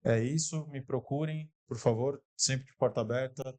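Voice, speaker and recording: A man's voice: medium pitch, fairly even intonation, moderate pace, darkish tone. A man speaking for his company at a live corporate event, friendly and inviting, often checking in with the audience. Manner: friendly, inviting, frequent tag questions. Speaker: company representative presenting.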